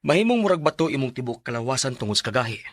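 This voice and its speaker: A man playing a grave doctor explaining things in a radio drama, measured, with a Filipino Bisaya accent. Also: medium pitch, sing-song, over music, lightly acted.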